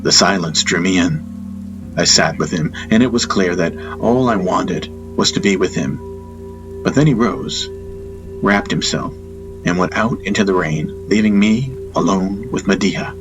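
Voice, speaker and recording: A man narrating a first-person fantasy audiobook in a solemn, measured, reflective manner. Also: deep voice, highs cut off.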